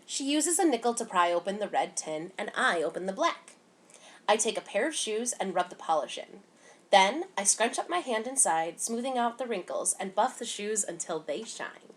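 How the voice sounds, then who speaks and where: A woman reading a picture book aloud to children in an expressive, animated way, giving emphasis to the dialogue. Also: mid-range voice, moderate pace. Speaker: children's story time reader.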